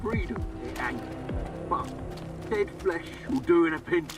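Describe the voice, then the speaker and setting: Swaggering, unhinged colonel, high swooping voice. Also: male voice, expressive, slow pace, distant mic, over music. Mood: manic, bloodthirsty. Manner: barking, taunting, theatrical. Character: swaggering, unhinged military colonel who bullies and taunts. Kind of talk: video game character acting.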